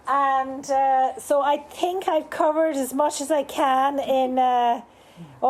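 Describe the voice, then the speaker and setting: Bright-voiced Irish woman, rambling. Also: high voice. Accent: Irish, not from Cork. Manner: rambling, many restarts and fillers. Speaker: salon speaker. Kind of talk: casual talk to a live group. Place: bar at a live event.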